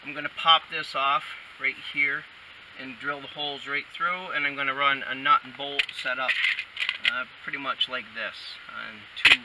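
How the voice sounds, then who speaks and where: A man talks through a hands-on job in a casual, plainspoken way, with a medium-pitched voice. He sounds set back from the microphone inside a vehicle, with loud noise around him. His delivery is unhurried and sometimes muttered as he works. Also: steady tone. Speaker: DIY installer demonstrating a how-to. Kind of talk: casual hands-on tutorial talk. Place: inside a pickup truck cab. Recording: distant mic, loud vehicle background noise.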